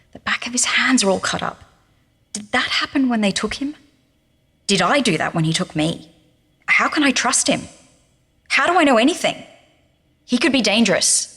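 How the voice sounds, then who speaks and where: Husky, echoing frightened female captive. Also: fairly low pitch, fairly bright tone, exaggerated voice. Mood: suspicious. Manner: fretful, questioning herself, repeating. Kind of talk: found-recording audio drama, spoken journal. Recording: long reverberant room echo.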